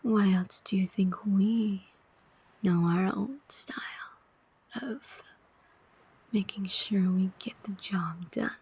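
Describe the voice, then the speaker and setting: Woman playing a slow, whispering, taunting demoness. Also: medium pitch, darkish tone. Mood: smug. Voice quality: breathy whisper. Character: taunting, cunning female demon collector of souls. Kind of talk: whispered ASMR roleplay.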